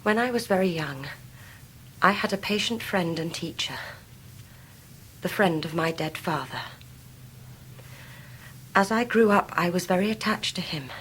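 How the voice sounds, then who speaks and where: An actress playing a devoted, dignified young Victorian wife in a period radio drama, fervent and formal in delivery. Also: female voice, lowish voice, animated, measured pace, pauses often, a bit bright, a little hiss or hum. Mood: fervent, sincere.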